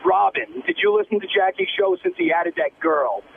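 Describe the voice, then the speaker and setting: A man calling a radio show over a noisy, tinny phone line, complaining bluntly in a creaky voice. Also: sits high, wildly swooping pitch, moderate pace. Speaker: radio caller. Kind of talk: animated call-in opinion. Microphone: telephone.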